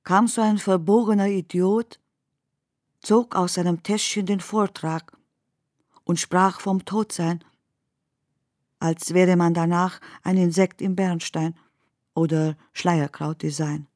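A woman reciting poetry in a measured, deliberate way, as in a radio drama. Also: fairly low pitch, steady tone, darkish tone, no room sound, clean studio sound.

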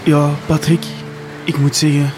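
A man's voice: a little high, flat delivery, measured pace, natural voice. A man playing a tender, soothing bridegroom with a colloquial Flemish accent in a comedy radio drama. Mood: touched. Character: tender, soothing bridegroom comforting his husband. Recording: loud music over the voice.

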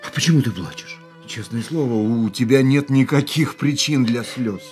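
A man's voice: medium pitch, moderate pace, a little mellow, close to an everyday voice. An actor playing a passionate, jealous man in a radio play, talking in an intimate, earnest way. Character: passionate jealous male racing driver. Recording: radio play with faint music.

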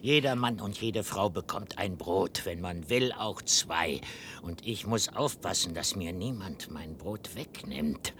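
Radio play: slow, simple-minded man, food-obsessed, halting, bright hard edge. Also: expressive. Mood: dull, defensive. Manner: simple, halting, repeats words. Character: slow-witted, childlike man called father, fearful for his food. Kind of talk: German radio play acting. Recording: noisy old radio play recording with rumble.

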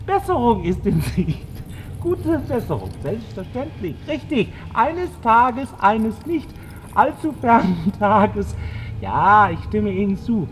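An erratic old man in a mental ward, rather high-voiced, with pitch that leaps about. He is contrary and abrupt, played theatrically for radio, over faint noise. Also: very animated, takes it slowly, exaggerated voice, rough recording. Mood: manic, mock-hopeful. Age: older. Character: agitated, contrary old male psychiatric patient, erratic. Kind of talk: radio drama acting.

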